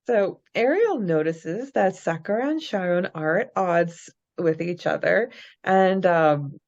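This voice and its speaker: Low-voiced, enthusiastic woman on a dull mic. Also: expressive, takes it slowly, darkish tone. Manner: enthusiastic, giggly. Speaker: podcast co-host. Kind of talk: casual fan podcast chat. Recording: dull lo-fi microphone sound.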